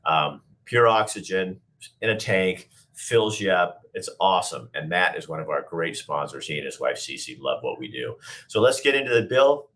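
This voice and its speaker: Hoarse guy with a deep voice hosting his show, chatty and rambly. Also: lively intonation, moderate pace, fairly bright tone. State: hoarse from a cold. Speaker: talk show host. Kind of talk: casual show intro.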